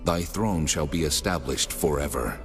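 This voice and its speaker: A very deep, reverberant God. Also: male voice. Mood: solemn, commanding. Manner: slow, measured, weighty proclamation. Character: God of Israel, solemn, commanding, majestic divine voice. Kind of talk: dramatized scripture reading. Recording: long cavernous reverb, music underneath. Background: music.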